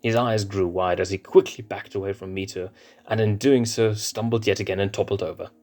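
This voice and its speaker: A man narrating a fan fiction chapter in a steady, expressive storybook reading. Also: lowish voice, fairly quick.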